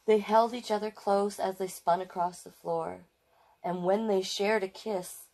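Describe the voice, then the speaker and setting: A woman with a mid-range voice reads a children's story aloud as a storytime host. Her delivery is expressive, and she slips into affectionate, conversational asides, often repeating a phrase. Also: medium pitch, measured pace. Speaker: storytime host reading picture books.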